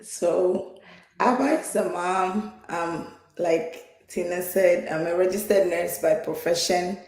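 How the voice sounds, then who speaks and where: A woman's voice: on the low side, slow pace, a little mellow. A woman from Ghana speaks as an interview guest. She talks earnestly, with frequent fillers and repeated words. Her voice carries a roomy echo. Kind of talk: conversational interview.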